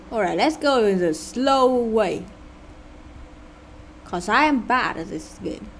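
A woman's voice: a little high, animated, slight background noise. A woman with a Vietnamese accent who speaks English as a second language, casually commenting on her gameplay with sarcastic asides and sighs. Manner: sighing, sarcastic asides, halting and unhurried. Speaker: gaming channel host.

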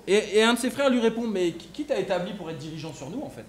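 Young guy interpreting a sermon in a church hall, highish voice, quick and friendly. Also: lively intonation.

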